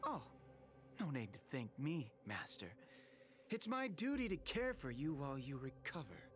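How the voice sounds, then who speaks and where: A man acts an earnest young apprentice priest in a video game. His delivery is deferential and very animated, with music playing behind it. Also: medium pitch, moderate pace, close to an everyday voice. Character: dutiful young male apprentice priest, earnest, impersonated by thief.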